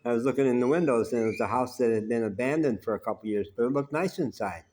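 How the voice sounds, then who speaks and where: A man telling personal stories in an unhurried, conversational way, pausing often. He has a naturally loud voice. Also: medium pitch. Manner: unhurried and conversational, with frequent "uh" pauses. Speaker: vlogger telling life stories. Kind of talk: rambling personal storytelling.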